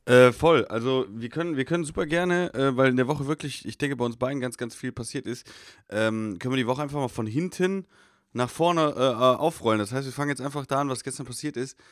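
A man's voice: medium pitch, brisk, no echo, studio quality. A man with a cold co-hosting a podcast, rambling casually with lots of fillers. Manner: rambling, filler-heavy talk. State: has a cold. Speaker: podcast co-host. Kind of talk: casual chat.

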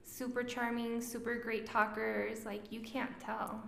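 A woman's voice: on the high side, level tone, unhurried, vocal fry, fairly bright tone, slight background noise. A young woman with a Mexican accent, chatting casually as a social worker guest who says "like" a lot. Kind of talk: casual podcast chat. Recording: echoey room.

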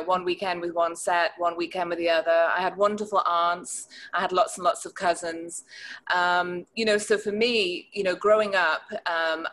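A woman's voice: lowish voice, sharp tone, low-quality recording. A middle-aged woman with a Northern English accent, talking casually as an interview guest and reminiscing. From north of England, rural Cheshire. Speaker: interview guest, wine educator and professor.

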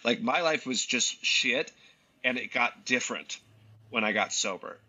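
Man, mid pitch, sharply bright forceful tone, earnest halting talk, slightly lo-fi recording. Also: breathy edge. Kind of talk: casual reflective conversation.

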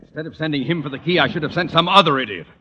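An actor playing a pompous, irascible middle-aged school principal with a theatrical, blustering delivery in an old radio comedy. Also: male voice, fairly high pitch, very fast, close to an everyday voice. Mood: exasperated, blustering. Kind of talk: 1940s-50s radio comedy acting. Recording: muffled, noisy old radio recording.

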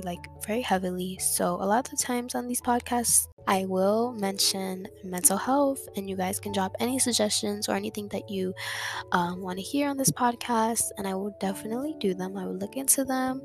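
A young woman hosting her own podcast, talking in a soft, reflective way and repeating words for emphasis. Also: mid-range voice. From Dominican family background. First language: English. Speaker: podcast host. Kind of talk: casual solo podcast monologue. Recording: music playing underneath.

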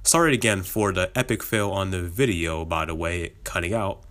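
A cheerful male podcast host winding down an episode with a friendly, rambling ramble of thanks and shout-outs. His voice sits somewhat low and carries a slight sick heaviness. He laughs often between lines. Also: normal speed. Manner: upbeat, chatty, laughs often. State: slightly sick, voice a bit lower. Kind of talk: casual podcast outro.

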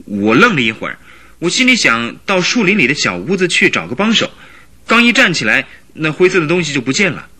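A man's voice: a little high, big pitch swings, brisk, sharp tone, full character voice. A man in a Chinese radio drama playing a shaken young witness, uneasy and plaintive as he answers. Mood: shaken, defensive.

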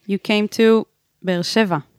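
A woman's voice: medium pitch, fairly even intonation, unhurried, clean tone, dry recording, studio quality. A woman hosting a podcast, reading a scripted introduction and then interviewing her guest in a casual, informal way. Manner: informal, says "like" often. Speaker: podcast host.

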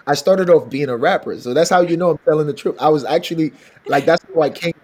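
A man from Benin, West Africa, a singer with a raspy voice, telling stories in an animated way in a casual interview. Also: fairly high pitch, fast talker, crowd noise. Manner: animated storytelling, laughs often. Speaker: singer and songwriter guest.

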